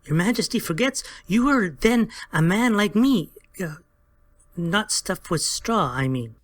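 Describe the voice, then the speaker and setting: A woman's voice: medium pitch, animated, a little slow. A woman voicing a small, timid silver court jester in a dramatized audiobook, deferential and sing-song in rhymes.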